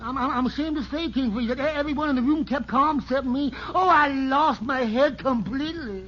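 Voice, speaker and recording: A very high, bright-voiced muddle-headed little barber in a vintage radio comedy. He stammers nervously and repeats his words eagerly. The old recording is dull and noisy. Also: brisk. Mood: eager, rambling. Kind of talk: old-time radio sitcom acting.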